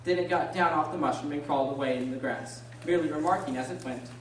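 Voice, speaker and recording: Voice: mid-range voice, quick pace, natural voice. A narrator reading scripted storybook narration for an amateur radio drama. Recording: very echoey room, distant mic, noisy.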